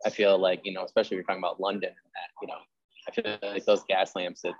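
A man with a low voice chats casually as an interviewer, wandering through his thoughts with plenty of filler phrases. The sound is dry but a little dull and lo-fi. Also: level tone, very fast, dry recording. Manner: rambling, peppered with "like" and "you know". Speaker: interviewer and host; history teacher. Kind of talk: casual chat about genre fiction writing.

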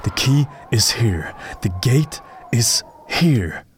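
A man playing a dazed, obsessed mystic haunted by visions in an audio drama, speaking in halting, cryptic, trance-like phrases. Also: mid-range voice, expressive, a little hiss or hum. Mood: dazed, eerie.

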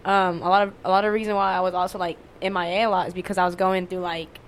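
A woman's voice: fairly low pitch, fast, slight background noise. Candid young woman, casual swearing. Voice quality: monotone voice. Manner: candid, frequent swearing and fillers. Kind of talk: casual conversation.

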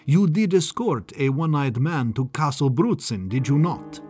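Man acting a gleeful high-pitched mad doctor. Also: animated, a little slow, darkish tone, soft background music. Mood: smug, boastful. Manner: sing-song, condescending, theatrical. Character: gleeful, smug, sinister male doctor-scientist. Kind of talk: audiobook character acting.

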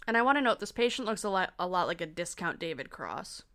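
A woman's voice: fairly low pitch, sing-song, a bit bright. A woman with a Michigan accent co-hosting a podcast, chatting and teasing her co-hosts. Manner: teasing, quick interjections, laughs. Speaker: podcast co-host. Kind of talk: casual chat.

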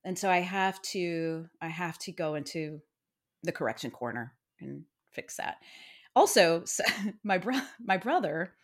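A woman's voice: on the low side, expressive, unhurried. A woman co-hosting a casual podcast, chatty and self-deprecating, laughing often. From Iowa. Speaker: podcast co-host. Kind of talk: casual true-crime podcast chat.

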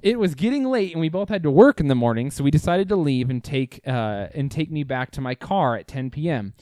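A man's voice: a little high, animated, brisk, mellow, no room sound. A man co-hosting a casual chat, reading a listener's story aloud with wry, profane asides.

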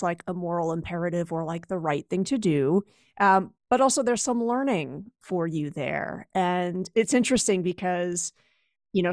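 A woman's voice: lowish voice, no room sound, studio quality. A middle-aged woman hosting a podcast, talking conversationally and thoughtfully with plenty of filler words. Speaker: podcast host. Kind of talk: podcast interview.

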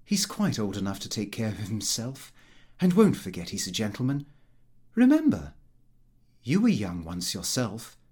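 A man voicing a mild, protective middle-aged wife and mother in an audiobook, genteel and gently chiding. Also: fairly low pitch, wildly swooping pitch, moderate pace, breathy edge, voice pushed down, full character voice. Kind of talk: audiobook character dialogue.

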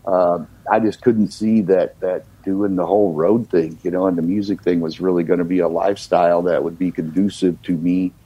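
Husky, low-voiced older man reminiscing. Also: fairly even intonation, normal speed, few pauses, dark tone, dry recording, dull audio. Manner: reflective, leans on "you know" fillers. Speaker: guest, singer-songwriter. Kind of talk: relaxed conversational storytelling.

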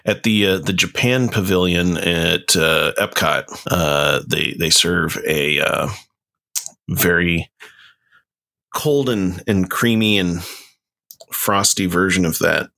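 A man's voice: low voice, takes it slowly, vocal fry, sharp tone. A man co-hosting a podcast in casual chat, hesitant and full of fillers. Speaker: podcast co-host.

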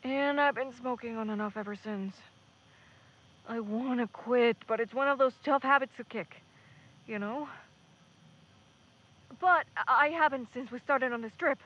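A woman's voice: a little high, measured pace, pauses often, dull audio. A woman playing an earnest, hesitant young male pre-med student in a naturalistic audio drama. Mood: sheepish, confiding.

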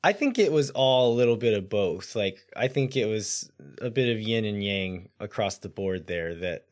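A man co-hosting a casual sports podcast, his voice raspy and hoarse from yelling at a game, talking through match analysis with fillers. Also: on the low side, lively intonation, normal speed. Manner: analytical, thinks aloud with fillers. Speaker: podcast co-host.

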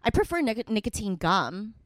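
A young woman with a Midwestern accent co-hosting a podcast, chatty and quick to laugh, with a high voice. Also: sing-song, no room sound, clean studio sound. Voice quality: high, shrill. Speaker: podcast co-host. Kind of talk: casual chat.

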